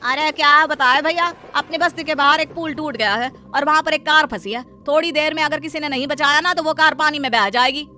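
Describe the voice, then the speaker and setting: A woman's voice: sits high, fairly quick, hardly any pauses, pitched above the performer's own voice. Cartoon slum neighbor man, alarmed and cautious, played by a woman. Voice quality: excited, fearful.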